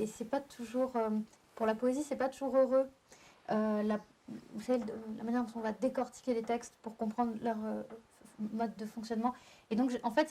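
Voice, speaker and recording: Woman poet, young adult, southern French. Mellow, higher voice. Reflective, halting panel talk. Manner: thoughtful, halting, with restarts. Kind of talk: round-table discussion. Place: live public venue.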